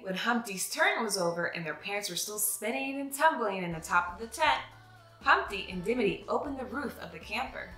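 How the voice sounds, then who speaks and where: Young female read-aloud host, bright lively delivery, dramatic storybook phrasing, recorded in a roomy space. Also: mid-range voice. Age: young adult. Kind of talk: expressive picture-book storytelling.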